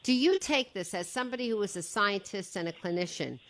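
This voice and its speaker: A woman's voice: medium pitch, lively intonation, a little fast, a bit bright. A woman hosting a radio talk show, interviewing a guest in a chatty, affirming way.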